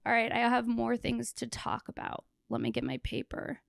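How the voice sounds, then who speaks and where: A young woman with a hoarse, tired, gravelly voice, riffing casually and bluntly as a solo comedy podcast host, close on a handheld microphone. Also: a little high, sing-song, moderate pace, no room sound. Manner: blunt, sweary, joking. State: hoarse, worn-out voice after a festival; exhausted. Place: small plain room indoors. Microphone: handheld microphone held close.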